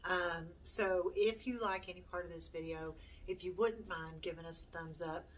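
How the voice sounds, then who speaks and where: A woman's voice: fairly low pitch, fairly even intonation, normal speed. A middle-aged woman chatting casually to camera in a rambling, self-deprecating way. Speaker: homeschooling mom, YouTube host. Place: small room at home, indoors. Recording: distant mic, noisy room.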